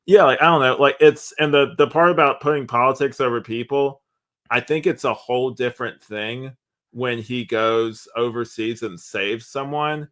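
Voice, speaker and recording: A man's voice: medium pitch, moderate pace, fairly bright tone. A man co-hosting a podcast in casual discussion, talking in a rambling, conversational way with "like" dropped in often. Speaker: podcast co-host.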